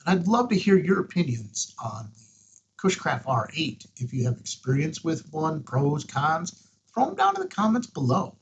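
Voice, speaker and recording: A man's voice: on the high side, sing-song, normal speed, breathy edge, muffled recording. A man talking casually in his vlog, plain-spoken with a wry, exasperated edge. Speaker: ham radio vlogger.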